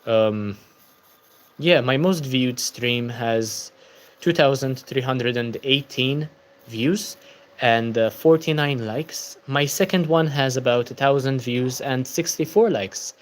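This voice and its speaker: A man's voice: medium pitch, slow. A tired young man hosting a casual book-channel livestream, chatting and answering viewer comments.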